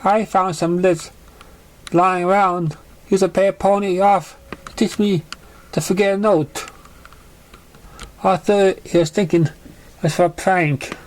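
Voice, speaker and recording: A young pony girl acted out by a man speaks in a high voice, halting and confessional. She is an orphan, wounded but plucky, telling her own story in a fan-made storybook reading. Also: fairly even intonation. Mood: recounting. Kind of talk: amateur fan fiction reading.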